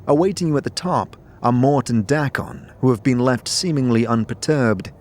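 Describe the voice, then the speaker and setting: A man's voice: mid-range voice, moderate pace, a little mellow. A man giving dramatic scripted narration for a fantasy audio drama. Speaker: narrator and lead player. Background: music.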